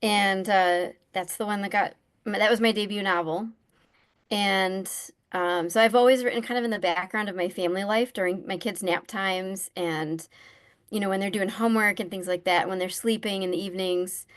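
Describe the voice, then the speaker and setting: A woman author, a guest, chatting casually over a video call about how she started writing, soft-spoken with plenty of fillers. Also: mid-range voice, steady tone, moderate pace. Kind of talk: casual storytelling about her writing path.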